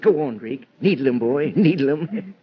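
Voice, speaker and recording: A crafty old country constable speaks high and animatedly in a folksy rural American drawl. He teases and plays dumb, in a 1940s radio mystery style with dull, dated sound. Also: expressive, normal speed, breathy edge. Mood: teasing, feisty. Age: older. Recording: dull vintage radio recording.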